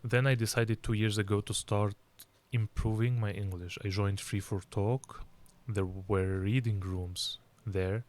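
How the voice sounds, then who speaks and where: Egyptian man with a lowish voice. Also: steady tone, a little mellow. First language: Arabic. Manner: measured, reflective, clear learner's English. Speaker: English learning channel host. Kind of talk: personal story for language learners.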